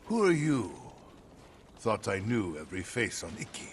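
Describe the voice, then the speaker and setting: An actor playing a bitter, defiant older islander who tells stories in a dramatic, emphatic way in a video game. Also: male voice, on the low side, sing-song, moderate pace, slight background noise. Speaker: village storyteller.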